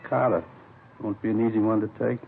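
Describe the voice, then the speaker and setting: A man's voice: on the low side, steady tone, a little fast, vocal fry. An American man playing a chatty, easygoing small-time police informant in a 1950s radio crime drama, folksy and rambling. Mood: wary. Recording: old radio, phone-like band, faint noise.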